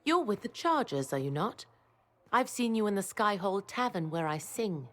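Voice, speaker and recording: An actress playing a gracious, lyrical tavern singer who speaks in a courtly, flowery way in a video game. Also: female voice, medium pitch, big pitch swings, normal speed, a bit bright.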